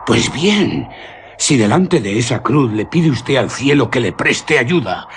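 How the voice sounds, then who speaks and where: Terrified rustic guide, animated intonation, creaky voice, urgent warnings, radio drama, slightly noisy recording. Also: male voice, medium pitch, takes it slowly. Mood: urgent, fearful. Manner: vehement, urgent, pleading. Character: terrified local mountain guide, superstitious and pleading. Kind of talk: Spanish radio drama acting.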